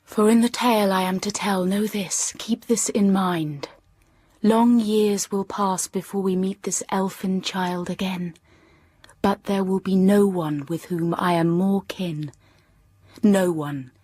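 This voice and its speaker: An actress playing a reserved, melancholy young gentlewoman, narrating her life in a measured way in a literary audio drama. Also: medium pitch, steady tone, no room sound. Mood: hushed, foreboding. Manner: measured, sombre. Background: music.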